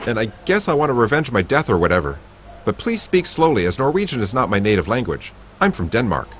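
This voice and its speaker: Man doing a ghost king, casual, offhand, lively pitch, faint background hum. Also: fast talker. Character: ghost of a murdered king writing notes.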